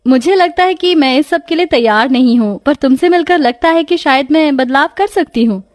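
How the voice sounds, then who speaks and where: A woman's voice: high-pitched, normal speed, dry recording, studio quality, voice pushed up, lightly acted. A woman voicing a carefree, lazy young college woman in a story, with a breezy, whiny manner. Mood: sweet.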